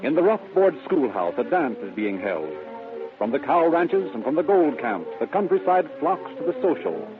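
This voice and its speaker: An actor serving as the American narrator of an old-time radio crime drama, reading formally and dramatically. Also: male voice, a little high, very animated, moderate pace, music in the background. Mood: grave, dramatic. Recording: tinny old radio recording.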